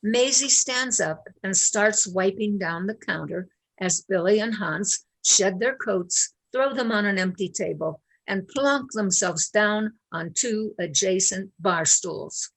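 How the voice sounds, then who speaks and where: A woman serves as narrator, reading scripted scene descriptions for a play. She takes a slow pace in a mid-pitched voice. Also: expressive, vocal fry, husky, poor audio. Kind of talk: scripted stage-direction narration.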